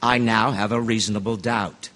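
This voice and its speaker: Man playing a low-voiced, composed juror. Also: steady tone, normal speed, a bit bright, lightly acted. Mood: composed, certain. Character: composed, logical male juror with eyeglasses. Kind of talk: stage-style radio drama acting.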